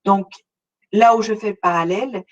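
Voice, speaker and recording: A woman's voice: mid-range voice, steady tone, normal speed, dull audio. A middle-aged woman from Montreal with a Québécois accent, presenting a webinar in a conversational way, speaking straight to her listeners with frequent hesitations. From Montreal, Canada. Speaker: webinar presenter, relocation consultant.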